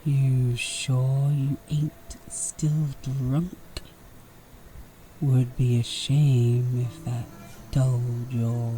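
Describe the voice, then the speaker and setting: An extremely slow, mellow Southern American drawl from a man acting as a snake-like outlaw villain of the Old West. The taunts are smug and mock-sweet, full of menace, over faint music. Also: medium pitch, low-quality recording. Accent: American Southern drawl, Western style. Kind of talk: Western audio roleplay acting.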